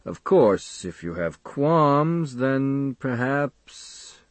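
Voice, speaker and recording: A man voicing a fat, self-important older doctor in an audiobook, with a pompous, measured, clinical manner. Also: mid-range voice, animated, no room sound, natural voice. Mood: pompous, affronted.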